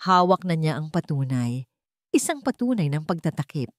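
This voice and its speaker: A woman's voice: low-pitched, very animated, moderate pace, dry recording, studio quality. A woman narrating a scripted romantic drama in a dramatic storytelling style.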